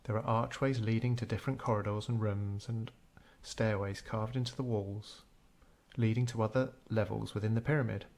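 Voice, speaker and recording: A man narrating a scripted guided meditation in a measured, unhurried storytelling way. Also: lowish voice, steady tone, darkish tone.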